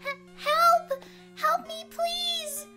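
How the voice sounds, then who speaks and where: A woman voicing a small, fragile, injured human girl with a halting, plaintive manner in an audio drama. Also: very high voice, fairly even intonation, over loud music, voice pushed up, exaggerated voice. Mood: panicked, pained.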